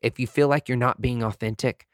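A man with a medium-pitched voice speaks as a candid motivational podcaster. He is sassy and emphatic, chatting casually with camp slang and the odd swear word. The sound is dry, with no room echo. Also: fairly even intonation, fairly quick. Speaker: podcast speaker, motivational aesthetics-industry personality.

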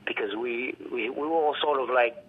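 A man with a heavy Haitian accent tells his story as an artist, stopping and restarting his sentences and acting out the dialogue. His voice sits at an ordinary pitch. It comes through a narrow, tinny telephone line. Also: husky. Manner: reflective, halting with restarts, acts out quoted dialogue. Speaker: artist and art professor. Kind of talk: storytelling interview over the phone.

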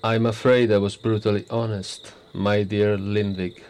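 An actor playing a smug old prime minister. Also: male voice, lowish voice, little pitch movement, unhurried, a little mellow, slight background noise, natural voice. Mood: self-assured. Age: 60s. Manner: wry, teasing, self-assured. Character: older male prime minister, smug, blunt, self-satisfied. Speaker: politician character. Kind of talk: radio drama acting.

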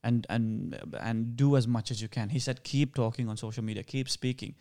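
A man with a Sri Lankan accent talks as a guest on a relaxed interview show. His voice is smooth and clear, and he tells his stories in a lively way, breaking into laughter often. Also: medium pitch, moderate pace. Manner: animated storytelling, laughs often. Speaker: guest actor. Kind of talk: casual interview chat.

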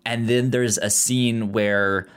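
A man's voice: on the low side, steady tone, slow pace, clean tone. A man co-hosting a podcast, chatting casually about a movie, often stammering and repeating syllables. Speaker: podcast co-host.

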